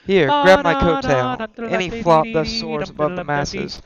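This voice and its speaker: A man's voice: on the high side, very animated, unhurried. Man reading verse on a dull recording. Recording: dull recording, crowd behind.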